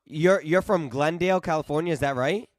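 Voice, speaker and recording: A man's voice: on the high side, brisk, crowd noise. A man in his late twenties hosting a casual interview over a video call, eager and full of restarts and repeats. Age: late 20s. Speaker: podcast host. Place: East Coast home, remote video call.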